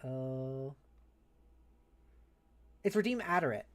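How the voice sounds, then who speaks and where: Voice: mid-range voice, expressive, slow. A streamer host chatting casually and animatedly with viewers on a livestream. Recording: music playing behind the voice.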